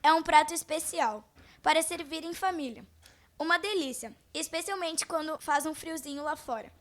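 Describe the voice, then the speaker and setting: A woman's voice: sits high, normal speed, a bit bright. A girl of about twelve with a Brazilian accent reading a scripted recipe segment on old-time radio. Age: child, about twelve. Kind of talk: scripted 1940s-style radio presenting.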